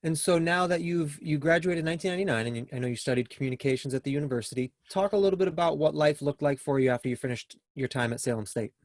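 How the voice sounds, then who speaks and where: Man, podcast host, quick pace, friendly scripted welcome, dry close sound. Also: fairly high pitch, no room sound. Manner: friendly, scripted introduction, then loose questions. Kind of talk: podcast interview hosting.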